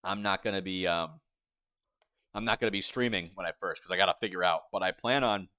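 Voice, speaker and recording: A young man in his twenties talks casually to his livestream chat, his voice sitting somewhat low. He rambles and circles back on himself as he answers viewers. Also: a little fast, pushed and bright. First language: English. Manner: rambling, chatty, reads chat aloud. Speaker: streamer.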